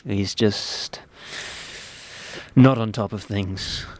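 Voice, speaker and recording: A man hosting comic radio banter, his voice hoarse from illness. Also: lowish voice, extremely slow, no room sound. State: hoarse, ill. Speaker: radio show host. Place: radio studio.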